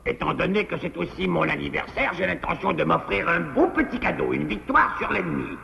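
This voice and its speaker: An actor voicing a boastful, honor-obsessed male wolf in a cartoon, blustering and theatrical, with a gravelly voice. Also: a little high, lively intonation, fairly quick, sharp tone, long reverb tail, slight background noise, exaggerated voice. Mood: scheming. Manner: blustering, theatrical, snaps insults. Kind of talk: French cartoon dub.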